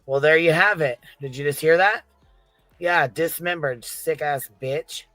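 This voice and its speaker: A man's voice: on the high side, measured pace, sharp tone, no room sound, faint music behind. A man hosting a casual true-crime reaction chat, blunt and exasperated, swearing heavily and repeating phrases for emphasis. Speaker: live stream host.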